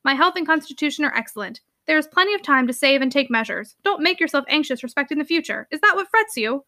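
A woman's voice: sits high, animated, fast talker, pushed and bright, no room sound, clean studio sound, exaggerated voice. A woman voicing a blustering, impatient middle-aged uncle from a storybook, brusque, scoffing and exclamatory. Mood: firm, impatient. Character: blustering, impatient fifty-five-year-old uncle, gruffly dismissive. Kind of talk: storybook character dialogue.

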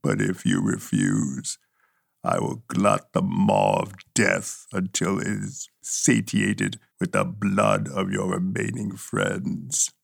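A brooding, anguished male creature, voiced by a man, pleading in slow, heavy phrases. The voice sits low and grinds with gravel. A husky grain runs under every word. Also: expressive, no echo, studio quality, exaggerated voice. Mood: bitter.

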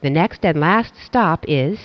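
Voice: mid-range voice, no room sound. Automated-sounding transit announcer, lo-fi. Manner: flat, measured, evenly paced phrasing. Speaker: train announcer. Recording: dull lo-fi audio.

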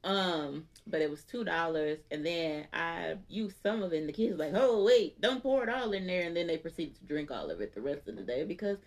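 A woman co-hosting a casual chat, her voice very low with a gravelly fry. She tells stories with mock outrage and laughs often. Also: lively intonation, fairly quick, hardly any pauses. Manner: storytelling, exaggerated indignation, laughs often. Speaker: podcast co-host.

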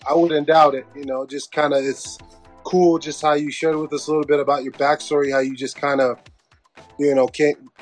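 A man's voice: a little high, flat delivery, moderate pace, soft background music. A man co-hosting a casual interview, talking in an encouraging, affirming way. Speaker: podcast co-host, interviewer.

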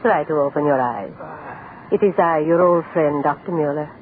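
A woman playing a composed, caring village doctor in a radio drama, gentle and earnest. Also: low-pitched, moderate pace, close to an everyday voice. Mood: composed, concerned. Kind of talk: 1940s radio drama acting. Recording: tinny old radio recording, clear hiss.

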